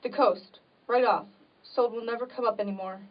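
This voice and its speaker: A woman's voice: on the high side, steady tone, fairly quick, natural voice. A woman playing a timid, devoted young woman in an amateur drama, earnest and pleading. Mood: desperate urging. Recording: dull, distant microphone.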